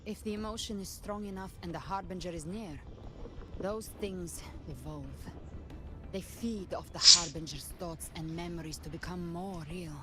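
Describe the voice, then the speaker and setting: A cool, self-assured avenger voiced by a woman with a low voice, in the style of a video game story scene. She speaks in measured, dramatic phrases, like a hunter set on her prey, with loud music behind her. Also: close to an everyday voice. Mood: intent, grave. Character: cool, confident female avenger hunting a dark monster. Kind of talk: scripted video game voice acting.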